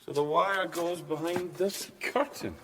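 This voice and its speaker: A man's voice: fairly high pitch, fairly even intonation, unhurried, background noise. An actor playing an eager, polite and long-suffering male police sergeant in a radio drama, deferential but a little cheeky. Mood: curious. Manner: earnest, deferential yet cheeky.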